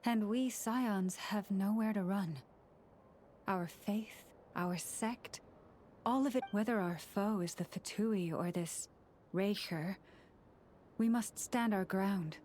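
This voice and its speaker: A woman playing a solemn, resolute young priestess in a video game, speaking in a formal, earnest, measured way. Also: medium pitch, darkish tone, a little hiss or hum, close to an everyday voice. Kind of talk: game voice acting. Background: game music.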